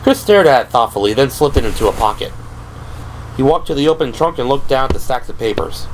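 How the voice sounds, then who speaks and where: A man's voice: medium pitch, expressive, fast, a little hiss or hum, low-quality recording. A man reading scripted third-person narration for an audio drama. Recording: music behind the voice.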